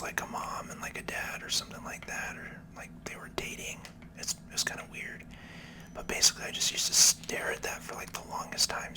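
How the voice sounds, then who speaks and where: A person whispering casual, unhurried commentary for an ASMR video game playthrough, in a soft, breathy, unvoiced voice. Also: poor audio.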